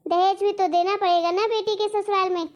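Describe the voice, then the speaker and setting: A woman voicing a greedy, bossy middle-aged mother-in-law in a Hindi cartoon drama, nagging and insistent, with a pitch-shifted voice. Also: fairly even intonation, a little fast. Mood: scolding, scheming. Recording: dull lo-fi sound.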